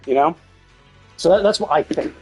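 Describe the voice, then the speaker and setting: High-pitched man rambling on a game stream. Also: moderate pace, dark tone, husky, soft background music. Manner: rambling, opinionated; casual swearing. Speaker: live streamer. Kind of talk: casual live game stream commentary.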